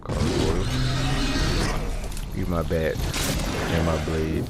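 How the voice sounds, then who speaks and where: A man's voice: very deep voice, level tone, takes it slowly, loud music behind the voice. A man giving casual, offhand commentary as he plays a video game, muttering to himself. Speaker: gamer narrating his own gameplay. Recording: game noise under the voice. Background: video game dungeon ambience and combat sounds.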